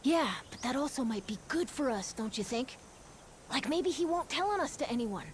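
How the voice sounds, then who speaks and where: A curious young crew kid for a video game. The voice is very high, earnest and questioning, with informal phrasing and faint ambient noise behind it. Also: female voice, expressive, moderate pace. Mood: eager, scheming. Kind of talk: video game cutscene acting.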